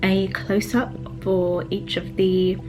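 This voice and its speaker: Young woman, soft and soothing, casual card reader, mid-range voice, faint music under her, picked up by a camera across a desk. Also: medium pitch, flat delivery, poor audio. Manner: chatty and rambling with fillers, later slow and hushed. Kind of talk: casual pick-a-card reading, then guided meditation. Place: small home room with bookshelves, indoors.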